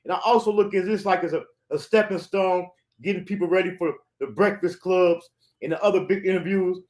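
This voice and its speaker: A man hosting a casual interview, asking questions in a relaxed, laid-back way. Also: high voice, brisk. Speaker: interview host.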